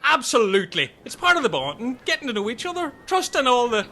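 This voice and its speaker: A man voicing an excitable, gushing castaway in a comedy audio drama, given to exaggerated exclamations. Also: very high-pitched, fast talker, exaggerated voice.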